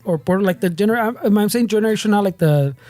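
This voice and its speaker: A man's voice: high-pitched, fast, warm tone, no room sound, soft background music. A man hosting a casual podcast chat, rambling and restarting as he switches between Spanish and English and swears freely. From United States. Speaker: podcast host.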